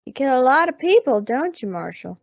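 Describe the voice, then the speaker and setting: A woman's voice: sits high, very animated, fairly quick, creaky, dry recording, exaggerated voice. A young woman acting a hard, vengeful old widow in a radio Western, curt and scornful. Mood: scornful, cold. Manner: curt, scornful, rustic grammar. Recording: dull, dry lo-fi recording.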